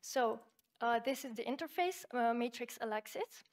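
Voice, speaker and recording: A woman whose first language is Slovenian, giving an academic conference talk and walking through a software demo in a measured, explanatory way with frequent fillers. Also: fairly high pitch, expressive. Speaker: conference presenter.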